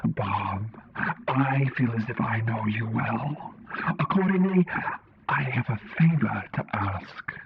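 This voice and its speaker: A man's voice: mid-range voice, expressive, takes it slowly, highs cut off, very noisy. A man voicing a solemnly polite, tentacled alien creature who speaks in a stilted, formal, literal way in an audio story. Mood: earnest, grave.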